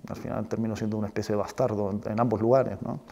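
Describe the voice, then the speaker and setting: A man with a fairly low voice speaks as a film director being interviewed. He is thoughtful and halting, feeling his way through each idea. He often closes a phrase with a short questioning tag. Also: steady tone, vocal fry, mellow. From Spain and Chile. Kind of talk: reflective interview talk about own work.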